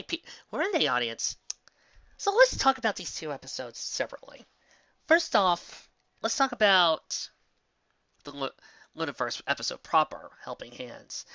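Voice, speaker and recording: A woman's voice: on the low side, a bit bright, no room sound. A woman giving a casual, chatty review to an audience, speaking emphatically with frequent restarts. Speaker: fan fiction reader and reviewer. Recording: dull lo-fi dry close recording.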